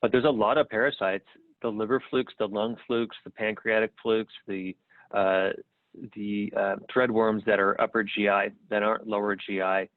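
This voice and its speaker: A middle-aged American man, a guest on a podcast interview, talking in a relaxed way and often repeating words while he thinks. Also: fairly low pitch, normal speed, no echo. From United States. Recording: tinny phone-like line.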